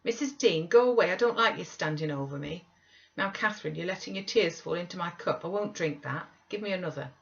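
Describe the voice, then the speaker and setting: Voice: mid-range voice, animated, a little fast, vocal fry, fairly bright tone. A reader voicing a sickly, spoiled young man with a whiny, peevish manner in an audiobook. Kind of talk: audiobook reading. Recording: dull lo-fi recording.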